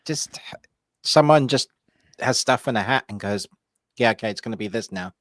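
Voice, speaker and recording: A man's voice: mid-range voice, moderate pace, a bit bright, dry recording, studio quality. A man co-hosting a live movie podcast, trading playful jokes and banter with his co-host. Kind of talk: casual live-stream chat.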